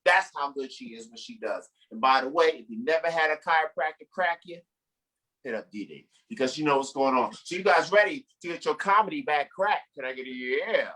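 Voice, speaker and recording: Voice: mid-range voice, lively intonation, a little fast, fairly bright tone, rough recording. A comedy show host giving a playful, hyped stage introduction for the next comedian.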